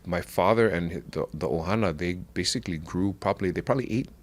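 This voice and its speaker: A middle-aged man from Oahu with a Hawaiian accent, talking as a podcast guest in a halting way with many restarts. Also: low voice, slight background noise. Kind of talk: casual conversational interview.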